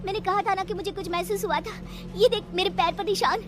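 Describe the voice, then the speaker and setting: Woman voicing a high, fretful, timid young woman. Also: steady tone, a little fast, music in the background. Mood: frightened. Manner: fretful, whining, dramatic. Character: timid, superstitious, whiny young woman Priya. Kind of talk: animated horror story character acting.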